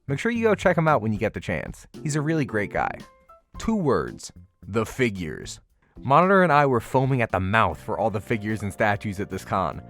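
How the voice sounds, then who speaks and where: A man's voice: on the low side, huge pitch swings, normal speed, a bit bright, faint music behind. A man narrating a convention vlog in a casual, slangy voice-over with wry, playfully exaggerated commentary. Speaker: vlogger narrating a convention walkthrough.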